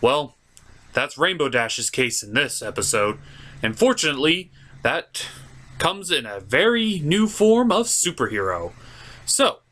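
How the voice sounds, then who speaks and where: A man's voice: on the high side, very animated, measured pace, fairly bright tone. A man hosting a comedic cartoon review, narrating from a script in a theatrical, playful way. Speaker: host and reviewer.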